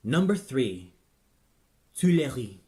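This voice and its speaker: A man's voice: medium pitch, big pitch swings, slow pace, darkish tone. A man narrating an audio play in a solemn, theatrical, scripted style.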